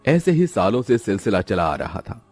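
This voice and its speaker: A man's voice: lowish voice, sing-song, moderate pace, a little mellow, dry recording, soft background music. A man narrating a scripted story in a dramatic storytelling style.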